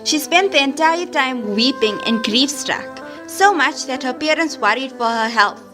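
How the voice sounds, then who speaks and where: Eager madrasa schoolgirl, high voice, faint music behind, radio drama. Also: animated, a little slow. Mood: eager, earnest. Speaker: student. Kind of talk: scripted audio drama, reading aloud.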